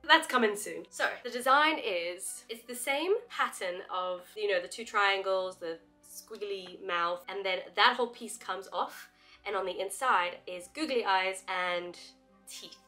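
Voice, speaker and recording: A woman's voice: medium pitch, measured pace, bright, forceful tone, faint music behind. A woman vlogging at home in a casual, bubbly, chatty way, still sick with a cough. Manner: bubbly, chatty, laughs often. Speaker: craft vlogger. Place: home, spare craft bedroom.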